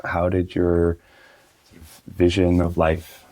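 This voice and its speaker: A man hosting an interview who asks questions in a hesitant, soft-spoken way with fillers. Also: deep voice, completely flat delivery, takes it slowly, no room sound, low-quality recording. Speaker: host.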